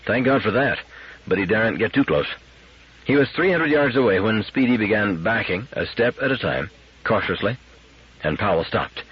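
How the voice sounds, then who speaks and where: A man with a husky voice giving a scripted narration of a science fiction story as an audiobook reader. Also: on the low side, lo-fi sound.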